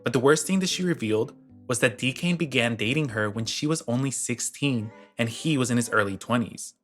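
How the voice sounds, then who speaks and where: A man's voice: medium pitch, brisk, soft background music. A man reading a scripted commentary voice-over, blunt and sarcastic. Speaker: commentary channel host.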